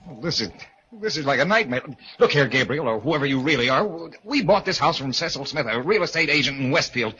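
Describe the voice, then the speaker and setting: An actor playing a bewildered, insistent husband and new homeowner in a 1940s radio mystery. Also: male voice, a little high, lively intonation, fairly quick, sharp tone. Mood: indignant. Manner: insistent, incredulous. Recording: dull old radio recording, faint noise.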